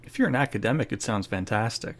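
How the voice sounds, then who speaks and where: A man with a fairly deep voice talks over a game playthrough. He is casual and dry, moving between reading story text and offhand remarks. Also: expressive, quick pace, a bit bright, a little hiss or hum. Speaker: gaming streamer.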